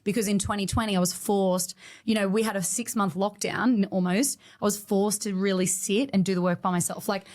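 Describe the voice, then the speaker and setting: A woman's voice: medium pitch, steady tone, a little fast, darkish tone. Emphatic woman telling her story. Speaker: guest, studio owner. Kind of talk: candid podcast interview.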